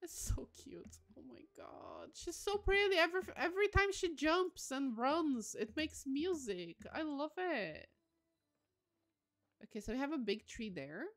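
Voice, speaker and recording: A woman chatting casually on a game livestream, excitable and gushing, often breaking into exclamations. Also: sits high, unhurried. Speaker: live streamer.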